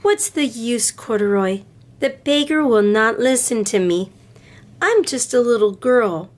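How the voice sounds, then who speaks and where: Woman voicing an eager, high-pitched little girl. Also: unhurried. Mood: discouraged. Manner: expressive, carefully enunciated read-aloud. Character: eager little girl, sometimes discouraged, in a picture book.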